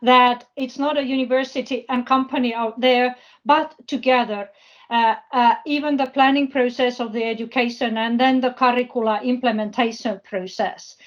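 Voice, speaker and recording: A woman with a distinctly high voice presents to an online forum. She speaks haltingly, feeling for her words. The sound is dull, like a lo-fi call. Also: fairly even intonation. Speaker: presenter, university project representative.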